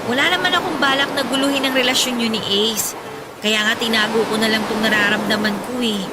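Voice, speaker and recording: High-voiced, shy young Filipina acted by a woman. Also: fairly even intonation, a little fast, very noisy, lightly acted. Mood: apologetic, confessing. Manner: hesitant, apologetic. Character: Mikey, shy, apologetic young woman in love. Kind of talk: Tagalog radio drama acting. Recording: music behind the voice.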